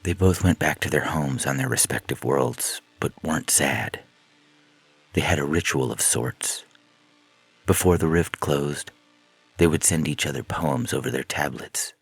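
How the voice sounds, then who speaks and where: A man with a deep, husky voice reading a scripted science fiction story as narrator. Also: a little slow, dry recording.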